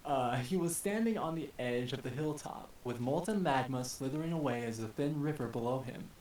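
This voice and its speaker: A man narrating an action story dramatically, with a deliberate, literary reading style. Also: medium pitch, darkish tone. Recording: echoey, distant mic with hiss.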